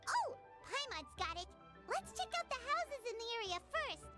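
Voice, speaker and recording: A woman's voice: very high voice, big pitch swings, moderate pace, sharp tone, exaggerated voice. A woman voicing a small floating girl companion in a video game who speaks of herself in the third person, with game music behind. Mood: chirpy.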